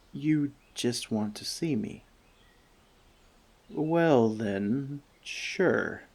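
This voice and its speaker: A gentle, long-lived forest elf, played by a man in an ASMR fantasy roleplay. He speaks softly and slowly, with a creaky edge to his voice. His wording is measured, slightly formal and thoughtful. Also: medium pitch, full character voice. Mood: warm, fond. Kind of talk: soft-spoken ASMR roleplay addressed to the listener.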